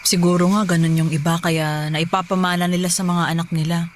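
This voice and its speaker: An actress playing a loving, gentle older sister and earnest young mother in a radio drama. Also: lowish voice, level tone, fairly quick, slight background noise, natural voice. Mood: earnest, self-doubting.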